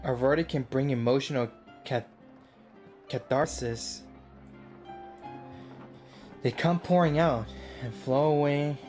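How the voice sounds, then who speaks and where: A woman's voice: a bass voice, takes it slowly, long pauses, mellow, faint music behind. A woman livestreaming a game, giving casual exclamatory reactions and reading dialogue aloud. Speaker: streamer. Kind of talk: live gaming commentary, casual reactions.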